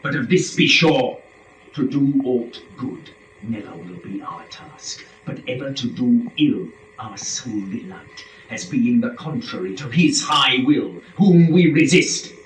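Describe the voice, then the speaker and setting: A man's voice: mid-range voice, expressive, measured pace, lightly acted. A man playing a proud, defiant fallen angel who leads hell, declaiming epic verse in a grand dramatic reading. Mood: scornful, resolute. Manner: declamatory, grand, swelling from hushed to shouted. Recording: roomy, distant mic, faint noise.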